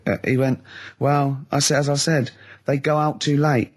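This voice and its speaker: A man co-hosting a radio show in casual comic banter, excitable and teasing. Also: medium pitch, moderate pace. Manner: excitable, teasing, mimics others in quotes. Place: radio studio. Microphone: studio microphone.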